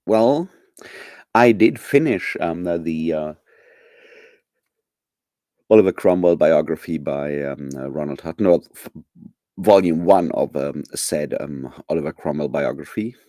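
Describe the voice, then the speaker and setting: Man, low mellow voice, casual rambling streamer chat, dry close mic. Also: slow pace, long pauses, no room sound. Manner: rambling, many fillers and restarts; chuckles often. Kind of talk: casual chat to live viewers.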